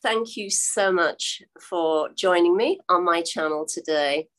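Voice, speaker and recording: An older woman hosting an interview over a video call, speaking in an encouraging way with drawn-out phrasing. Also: on the low side, fairly bright tone. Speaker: host. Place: home room. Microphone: video call webcam.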